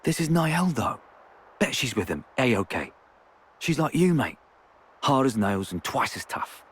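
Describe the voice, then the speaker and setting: A man with a husky voice playing an earnest, reassuring comrade in a video game. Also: medium pitch, big pitch swings, a little slow. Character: earnest, reassuring male comrade bringing news. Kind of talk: video game cutscene acting.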